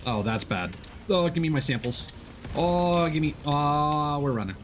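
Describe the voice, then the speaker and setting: A man's voice: fairly high pitch, animated, unhurried, slight background noise. A man giving casual, playful gameplay commentary, with loose swearing and silly exclamations. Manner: playful, profane exclamations and sound words. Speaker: game streamer. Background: video game sound effects.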